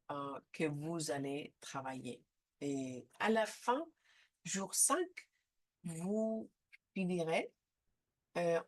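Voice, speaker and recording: A woman with a head cold leads a live online training session, speaking haltingly with frequent filler sounds. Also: fairly low pitch. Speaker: seminar trainer.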